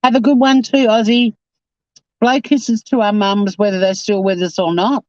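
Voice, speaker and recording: Man, 50s, stream host, chatty, fairly high voice, dry lo-fi mic. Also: level tone, normal speed, dry recording. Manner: chatty, reads viewer comments aloud and answers them. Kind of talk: casual live stream chat. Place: home.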